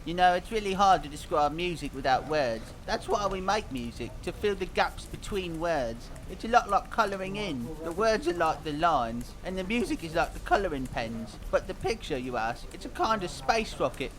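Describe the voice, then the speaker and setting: Guy doing a high voiced cartoon singer lad, kinda dim n earnest, rambles and hesitates in a mock interview. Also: animated, normal speed, hardly any pauses, a bit bright. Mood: naive, pleased. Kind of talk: scripted mock interview, fan dub.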